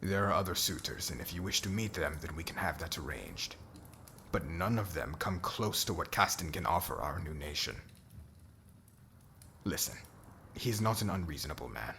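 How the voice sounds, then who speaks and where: A man playing a formal, earnest royal diplomat in an ASMR roleplay, speaking in a measured, diplomatic way. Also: low-pitched, lively intonation, creaky, bright, forceful tone, a little hiss or hum, heavily acted. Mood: earnest persuasion.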